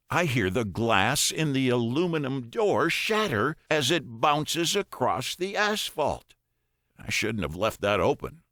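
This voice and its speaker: A man narrates first-person fiction in a mid-range voice with a slow, measured pace. His pitch moves widely as he dramatizes the prose. The recording is close and dry, like a studio audiobook. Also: fairly bright tone, no room sound.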